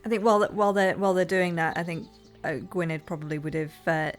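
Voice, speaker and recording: A woman with a fairly low voice plays a tabletop roleplaying game. She improvises her character's actions and chats at the table. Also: fast talker, faint music behind. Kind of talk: improvised narration and table talk.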